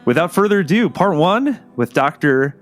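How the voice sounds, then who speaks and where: A man hosting a podcast, slightly hoarse from a cold, talking in a casual, rambling way with many fillers. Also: a little high, very animated, normal speed. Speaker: podcast host. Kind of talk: casual solo podcast intro.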